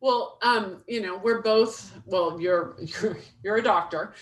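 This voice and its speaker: Lively woman host, slightly distant mic. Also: medium pitch, slow. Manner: lively, self-deprecating, laughs often. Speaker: podcast host. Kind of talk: casual interview talk.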